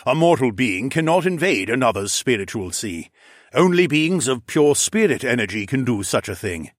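A man's voice: mid-range voice, very animated, normal speed, no room sound, clean studio sound. Man acting a scornful, scoffing skeptic. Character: scornful, blunt skeptic in a fantasy party.

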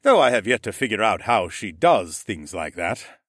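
A man voicing a stately, formal male ram lord who speaks in measured, courtly phrases. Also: fairly low pitch, sing-song, fairly bright tone, no echo, clean studio sound. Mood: earnest.

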